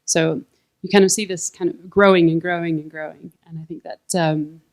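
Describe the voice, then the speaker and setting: A woman speaking on a panel at a live event in a halting way, with many fillers. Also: on the low side, fairly even intonation.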